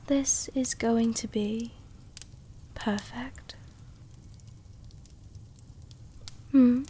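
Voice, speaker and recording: Woman voicing an ancient vampire countess, highish voice, very slow, intimate, formal, gently possessive, ASMR roleplay. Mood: pleased, tender. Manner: slow, intimate, formal diction with breathy whispers. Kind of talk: soft-dom roleplay ASMR.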